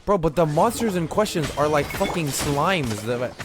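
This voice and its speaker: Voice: mid-range voice, lively intonation, moderate pace, noisy background. A streamer giving casual game commentary, joking, slangy and swearing freely.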